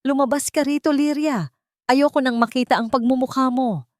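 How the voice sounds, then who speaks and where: A woman's voice: high-pitched, steady tone, normal speed, no room sound, clean studio sound, voice pushed up, natural voice. A woman voicing a rich, betrayed father in an audiobook drama, with emphatic, commanding outbursts. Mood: furious. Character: rich man, cold, betrayed, furious, later panicked father.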